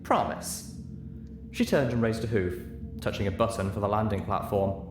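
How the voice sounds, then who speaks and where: A man's voice: on the low side, fairly even intonation, fairly quick, background noise. A man narrating a story as a dramatic audiobook reader, recorded in a room. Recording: echoey room.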